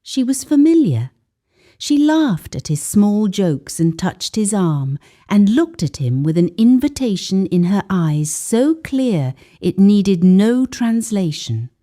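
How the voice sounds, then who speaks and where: A woman narrating a scripted folktale as a storyteller, in a measured, deliberate and dramatic way with weighted pauses. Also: medium pitch, very animated, dark tone.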